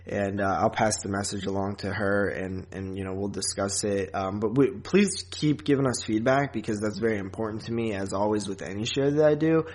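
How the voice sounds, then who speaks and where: A man hosting a podcast in a casual, rambling, halting chat style with frequent asides. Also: fairly low pitch, darkish tone. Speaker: podcast host.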